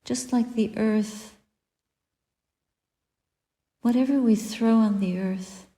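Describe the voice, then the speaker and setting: Very mellow, slow woman meditation teacher. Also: fairly high pitch. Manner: gentle, deliberate, long pauses between short phrases. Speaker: Buddhist nun, meditation teacher. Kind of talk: guided meditation, spoken dharma reflection. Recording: echoey room with long reverb.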